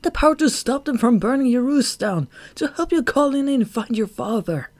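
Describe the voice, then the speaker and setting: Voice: medium pitch, a little slow, vocal fry, no echo, pitched above the performer's own voice. A storybook narrator voicing a frightened, guilt-ridden young male bat with urgent, emotional outbursts. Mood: anguished.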